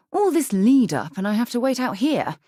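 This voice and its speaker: A woman playing a principled, earnest space explorer and leader in a video game, a husky voice and articulate, formal delivery. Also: fairly high pitch, big pitch swings, unhurried, dark tone, dry recording, clean studio sound. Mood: urgent. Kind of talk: scripted video game companion dialogue.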